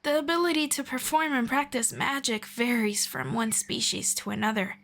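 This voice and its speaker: A woman voicing a patient, gently formal female elf friend in an ASMR roleplay, speaking softly and politely. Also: a little high, gravelly. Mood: calm, patient. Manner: soft, unhurried, politely formal.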